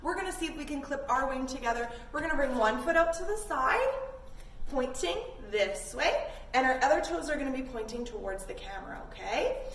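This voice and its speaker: High-voiced woman teaching kids' yoga, echoey room. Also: lively intonation, brisk, a bit bright. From Canada. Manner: encouraging, simple step-by-step instructions to children. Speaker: children's yoga instructor. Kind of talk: guided kids' yoga class following a story. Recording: echoey room, distant mic, background noise.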